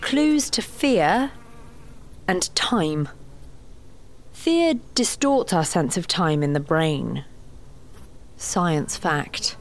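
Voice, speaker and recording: A woman's voice: on the low side, lively intonation, very slow, pauses often, a little hiss or hum, exaggerated voice. A woman playing a wry, easily exasperated crime writer in an audio drama, often muttering sardonically. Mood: reflective.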